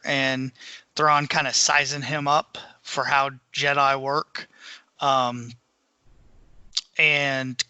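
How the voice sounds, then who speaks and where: A man's voice: a little high, pushed and bright, clear, resonant tone. A man co-hosting a podcast, giving a casual, conversational recap of books. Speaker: podcast co-host.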